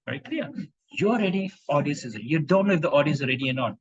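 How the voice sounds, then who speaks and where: A man from India giving an animated talk on impromptu speaking to a club, with emphatic rhetorical questions over a video call. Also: fairly high pitch, fast, breathy edge, no room sound, lo-fi sound. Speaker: speaking coach. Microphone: video call microphone.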